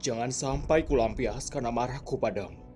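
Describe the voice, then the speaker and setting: A man plays a wrathful, imposing lord from a comic dub. He is commanding and menacing at a middle male pitch, over faint music. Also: moderate pace, darkish tone, close to an everyday voice. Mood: angry, warning. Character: imposing, wrathful male leader confronting intruder.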